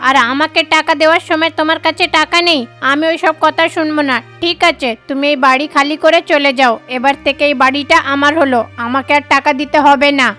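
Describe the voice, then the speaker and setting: A woman's voice: sits high, steady tone, fairly quick, hardly any pauses, sharp tone, faint music behind. A woman voicing a stern, grasping crow moneylender in a children's cartoon, bossy and scolding. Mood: angry.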